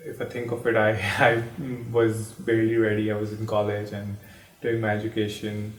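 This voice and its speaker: A man's voice: fairly low pitch, completely flat delivery, normal speed. A man in his thirties from Pakistan, sharing a personal story in a hesitant, reflective way with many fillers and restarts. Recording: echoey room, distant mic.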